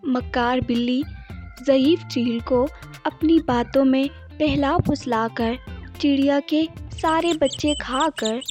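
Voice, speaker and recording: High-pitched woman narrating a children's story. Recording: children's music behind voice.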